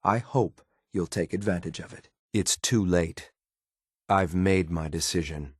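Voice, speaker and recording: An actor playing a stern, dutiful synth soldier in a video game, speaking in a formal, clipped, restrained military manner. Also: male voice, sits low, measured pace, slightly rough, dry recording, clean studio sound. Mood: stern, disappointed. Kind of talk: scripted game companion dialogue.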